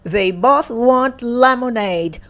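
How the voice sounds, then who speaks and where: A woman reading a picture book aloud for young children in a deliberate, playful way, in short separated phrases. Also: on the high side, a bit bright. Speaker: children's storybook reader.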